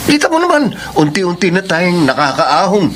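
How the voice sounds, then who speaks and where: A man's voice: high-pitched, wildly swooping pitch, moderate pace, fairly bright tone, heavily acted. A man acting a pushy, money-minded older brother in a Filipino radio melodrama, nagging and insistent. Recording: noisy radio drama soundtrack.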